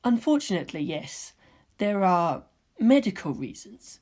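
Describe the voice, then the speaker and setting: A woman voicing a serene, courteous teenage boy with a soft, measured, polite manner in a fan fiction reading. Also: medium pitch, very animated. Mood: calm, gently courteous.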